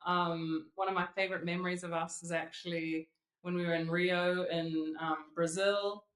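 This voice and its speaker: A woman's voice: lowish voice, level tone, moderate pace, fairly bright tone. A woman with a New Zealand accent, an opera singer and model, telling stories with giggles as a podcast guest. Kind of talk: casual interview chat. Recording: roomy echo.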